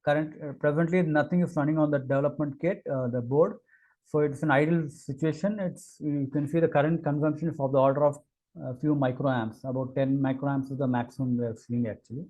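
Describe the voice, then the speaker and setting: Male engineer, mellow tone, raised pitch, hesitant demo narration full of fillers. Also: fairly even intonation, rough recording. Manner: halting, many fillers and restarts. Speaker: engineer giving a product demo. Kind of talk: screen-recorded technical walkthrough.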